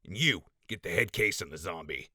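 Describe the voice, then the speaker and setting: A bossy wasteland raider with a low, hard-edged voice. He barks gruff orders, close and dry, in audio drama style. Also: animated, fairly quick, bright, forceful tone, no room sound, full character voice. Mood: harsh, impatient. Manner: barking orders, swearing. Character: gruff, bossy male raider crew leader. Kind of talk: post-apocalyptic audio drama acting.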